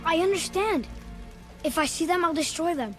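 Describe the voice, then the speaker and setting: A man's voice: very high voice, animated, moderate pace, a bit bright, faint music behind. An actor playing an eager, curious young boy in a video game. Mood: subdued. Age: child.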